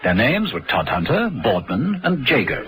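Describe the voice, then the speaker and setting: A man's voice: medium pitch, huge pitch swings, normal speed, a loud crowd. A man with a British accent reading scripted narration for a vintage radio drama. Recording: vintage radio broadcast, music behind.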